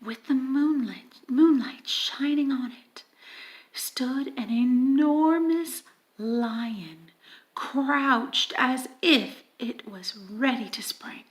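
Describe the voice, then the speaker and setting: A woman reading a children's story aloud in an expressive, dramatic manner, with emphatic stresses and hushed moments. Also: sits high, very slow. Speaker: audiobook reader.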